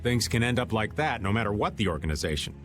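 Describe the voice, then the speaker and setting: A man's voice: fairly low pitch, expressive, fast talker, natural voice. An actor playing a playful, sly older man, a joking veteran adventurer in a video game. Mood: matter-of-fact. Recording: music under the voice.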